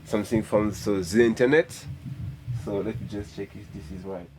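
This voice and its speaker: A man from Guadeloupe, a bass player earnestly explaining his view in a casual interview. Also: fairly even intonation, normal speed. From Guadeloupe, grew up in France. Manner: earnest, emphatic, repeats his point.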